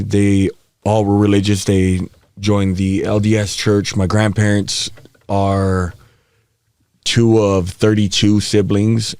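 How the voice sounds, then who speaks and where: A man around thirty who grew up in Hawaii, a podcast guest telling stories from his life in a relaxed way, laughing often and getting animated as the story builds. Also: on the low side, smooth, no echo. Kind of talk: candid storytelling interview. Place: podcast studio. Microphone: studio mic.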